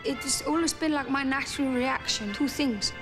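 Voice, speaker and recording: A woman's voice: high-pitched, normal speed, over music. A woman with an Icelandic accent, talking reflectively in a documentary interview and often restarting and repeating words as she searches for her thoughts.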